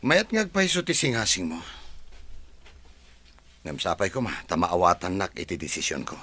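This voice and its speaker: A man voicing a calm, decisive male farm owner with an Ilocano accent, formal in manner. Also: deep voice, normal speed, slightly rough, full character voice. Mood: measured.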